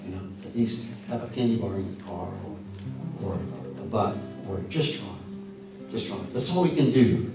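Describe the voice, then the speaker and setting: A man's voice: lowish voice, animated. A man with a scratchy voice talks in a folksy, earnest way, stopping and restarting often. He is picked up from a distance across a strongly echoing church sanctuary, with faint music underneath. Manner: folksy, earnest, halting with many fillers and restarts. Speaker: guest speaker. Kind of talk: church talk with audience questions. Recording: echoey hall from far off.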